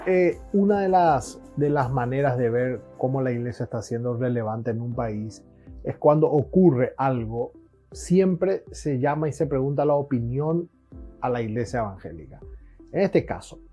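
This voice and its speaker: Man, interview host, agreeable, hesitant, dark mellow tone, lo-fi muffled audio. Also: mid-range voice. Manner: agreeable, many short affirmations, hesitant restarts. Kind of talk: interviewing, affirming. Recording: dull lo-fi sound.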